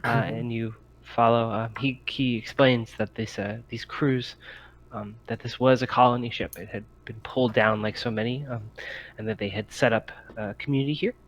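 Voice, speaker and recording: A man narrating a tabletop game as game master, in a halting, hesitant way with many pauses. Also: mid-range voice, fairly even intonation, poor audio.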